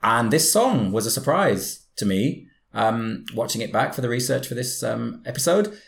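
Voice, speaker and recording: A man's voice: medium pitch, lively intonation, normal speed, vocal fry, reverberant. A man with a slight Brazilian accent chatting enthusiastically as a podcast co-host, often restarting his sentences. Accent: Brazilian-tinged English. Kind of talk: casual chat.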